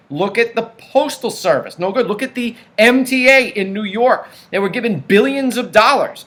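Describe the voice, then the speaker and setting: A man's voice: high-pitched, a bit bright. A man hosting a political commentary show, talking emphatically and stacking up points in lists.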